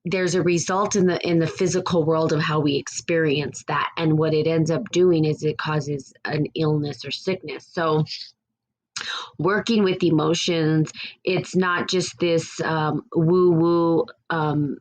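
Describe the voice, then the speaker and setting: Woman podcast host, low raspy voice, meandering reflective delivery. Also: steady tone, a little slow, low-quality recording. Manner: rambling and reflective, with frequent restarts. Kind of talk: casual spiritual podcast talk.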